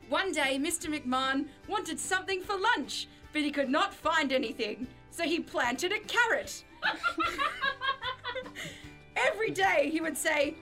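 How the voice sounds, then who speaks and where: A woman with a high, bright voice that swings freely up and down. She co-hosts a casual show, giggling often and poking fun at herself as she chats or reads aloud. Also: lively intonation, slow, long pauses, faint music behind. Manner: giggly, self-mocking. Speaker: podcast co-host. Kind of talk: casual chat with a playful read-aloud of own childhood story.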